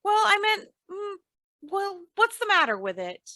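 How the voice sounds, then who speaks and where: A woman playing a patient, sensible, gently teasing housewife in old-time radio comedy, with a folksy, gently chiding manner. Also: very high voice, big pitch swings, a little slow, no echo, clean studio sound, heavily acted. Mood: flustered.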